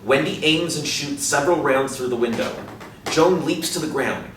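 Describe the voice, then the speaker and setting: A man's voice: a little high, normal speed, a bit bright. A man in his thirties reading scripted stage directions as narrator of a play reading. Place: small indoor room, seated. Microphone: distant camera. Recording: echoey room, distant mic, faint noise.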